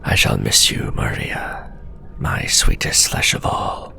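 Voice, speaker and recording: A man voicing a male scholar sliding into madness, whispering a fevered, gloating journal in a hushed ASMR horror roleplay. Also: extremely low pitch, fairly even intonation, slow, full character voice. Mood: menacing. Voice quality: gravelly whisper.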